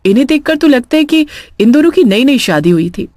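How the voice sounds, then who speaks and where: A woman's voice: high-pitched, very animated, a little fast, darkish tone, pitched above the performer's own voice. A woman voicing an alarmed, fearful local woman in a cartoon horror story. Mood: sorrowful.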